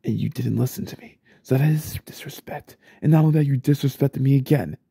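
A stressed, short-tempered father, played by a man in an ASMR roleplay. His voice is gravelly and dark, recorded close on a dry mic. He swings between muttering under his breath and yelling. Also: medium pitch, brisk, no echo. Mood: angry.

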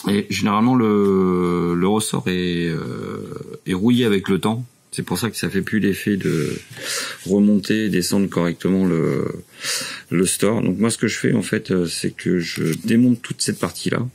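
Low-voiced Frenchman explaining a repair. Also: measured pace, gravelly, a little mellow. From France. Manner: hesitant, many "euh" and restarts. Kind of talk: casual how-to demonstration. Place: large indoor workshop.

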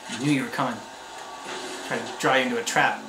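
A man's voice: medium pitch, fairly even intonation, quick pace, noisy background. Wry man reacting, roomy sound. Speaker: reaction video host. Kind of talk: casual reaction commentary on a video game. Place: small indoor room, seated in front of a backdrop.

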